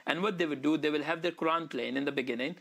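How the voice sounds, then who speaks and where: A man from Wales answering interview questions in a quick, earnest way, often restarting his sentences. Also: fairly high pitch, vocal fry, a bit bright. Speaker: interview guest. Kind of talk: casual interview chat.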